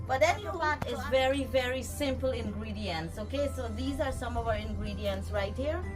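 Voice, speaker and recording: A woman's voice: a little high, moderate pace, music in the background. A woman from Guyana with a Guyanese accent, casually showing a cooking recipe outdoors and chatting with her viewers. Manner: chatty, talks to viewers and pets. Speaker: cooking vlogger. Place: backyard outdoors.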